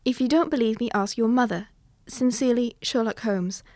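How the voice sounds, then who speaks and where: A woman's voice: on the high side, brisk, darkish tone, dry recording, studio quality, close to an everyday voice. A woman playing a polite, impressionable young lady with a scheming streak in an old-fashioned detective radio drama. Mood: taken aback. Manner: polite, earnest, proper.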